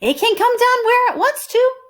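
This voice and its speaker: A woman's voice: extremely high pitch, lively intonation, moderate pace, pitched above the performer's own voice. A woman voicing a cheerful, eager, literal-minded housekeeper from a children's storybook, exclaiming earnestly.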